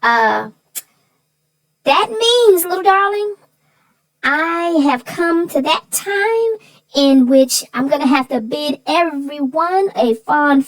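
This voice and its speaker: A woman voicing a sassy, feisty female co-host in chatty banter, with a folksy, emphatic, drawn-out way of telling things. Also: high-pitched, heavily acted, low-quality recording. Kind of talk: scripted chatty banter about a children's book.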